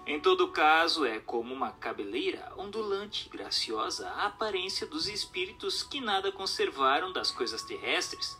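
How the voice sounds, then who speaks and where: A man reading a formal text as scripted narration in a measured, didactic manner. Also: fairly high pitch, sing-song, pushed and bright. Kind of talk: scripted audiobook-style narration of a spiritist text. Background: music.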